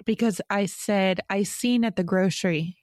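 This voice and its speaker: A woman's voice: medium pitch, normal speed, a little mellow, no room sound, clean studio sound. A woman in her forties from Rochester, New York, hosting a podcast in a chatty, self-deprecating way and laughing often. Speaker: podcast host. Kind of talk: casual podcast chat.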